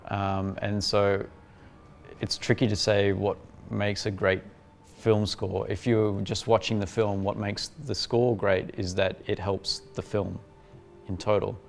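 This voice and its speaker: A young man with an Australian accent, thoughtful and measured in an interview. His voice is low and gravelly with little melody, over faint music. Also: flat delivery, a little hiss or hum. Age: young adult. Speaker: film music competitor. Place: indoor room with curtains.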